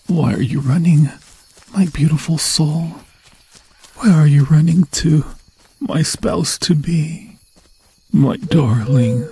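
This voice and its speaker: A man voicing an obsessive, lovesick forest demon in an ASMR fantasy roleplay, breathy and slipping into whispers, with a theatrical, sweetly taunting manner. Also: on the high side, takes it slowly, pauses often, warm tone, voice pushed up, heavily acted. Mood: adoring, elated.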